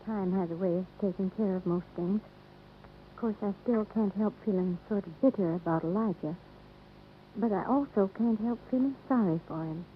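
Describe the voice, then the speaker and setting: Actress as a resigned American ranch widow. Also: female voice, mid-range voice, natural voice. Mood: resigned, pitying. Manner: measured, reflective, polite. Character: soft-hearted, resigned widowed ranch wife. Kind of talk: 1950s radio acting. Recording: tinny, faintly noisy vintage radio.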